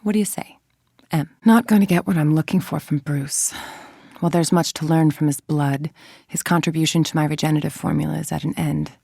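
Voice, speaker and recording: A scheming female scientist for a video game: low, heavily creaky voice, formal, controlled, dryly superior. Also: steady tone, fairly quick, warm tone, no room sound, clean studio sound, full character voice. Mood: clinical, scheming. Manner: articulate, formal, dryly condescending. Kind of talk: scripted game monologue, dictated logs and letters.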